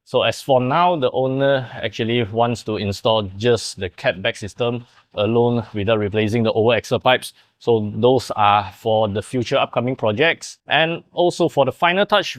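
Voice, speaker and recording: A young man presents a car product showcase in an explanatory, demonstrative way. His voice is clean and smooth, at a medium pitch. The sound is dry, with very little room in it. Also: normal speed, dry recording. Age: young adult. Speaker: presenter of a car workshop video.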